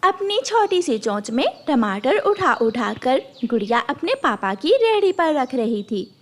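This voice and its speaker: A woman's voice: sits high, very animated, unhurried. A woman narrating a scripted children's story.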